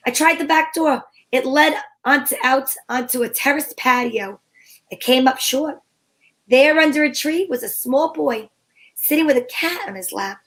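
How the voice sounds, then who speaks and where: Radio detective heroine, woman acting: chatty, slangy, wisecracking narration in a high female voice. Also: a bit bright, poor audio.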